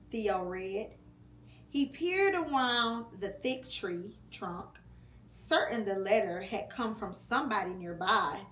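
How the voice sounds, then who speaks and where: A mother reads a storybook aloud at home, taking her time and shaping each phrase with slow, deliberate pauses. Her voice sits a little high for a woman. The room is echoey, with a faint hum underneath. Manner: slow, expressive reading with pauses. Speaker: mother reading to her child.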